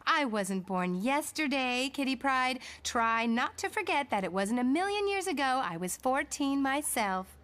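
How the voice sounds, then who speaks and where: A woman's voice: sits high, very animated, a little slow, few pauses, a bit bright, full character voice. A woman playing a no-nonsense mother of a teenager in a comic drama, dry and knowing. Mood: wry, stern. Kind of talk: motion comic voice acting.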